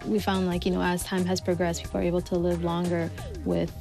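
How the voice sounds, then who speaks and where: Young woman from somalia, lowish voice, talks slow and thoughtful, music under her. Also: monotone, creaky. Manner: soft-spoken, thoughtful, with frequent fillers. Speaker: HIV outreach coordinator. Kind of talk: documentary interview and voice-over.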